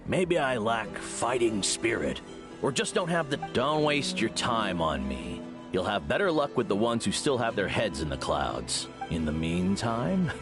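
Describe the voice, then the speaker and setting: A washed-out male warrior in a video game who shrugs everything off. His drawl is flippant and self-mocking, swinging widely in pitch over prominent music.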